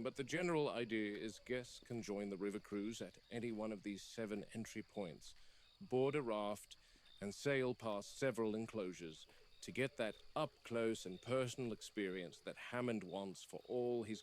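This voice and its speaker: A man voicing a grave, wary game warden in an analog horror log, measured and formal, on a field-style recording. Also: fairly low pitch, slight background noise, close to an everyday voice. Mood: measured, concerned. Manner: measured, serious, formal delivery. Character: grave, wary male game warden logging dinosaur observations. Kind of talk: scripted analog horror voice acting.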